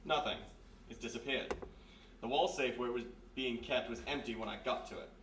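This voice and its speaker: Slightly distant in an echoey room, a man plays an authoritative police major for a radio mystery. He talks quickly in a gruff, officious way at an ordinary male pitch. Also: fairly bright tone, lightly acted. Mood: measured. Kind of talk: 1940s radio mystery acting, live on stage. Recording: echoey live room, distant mic, faint noise.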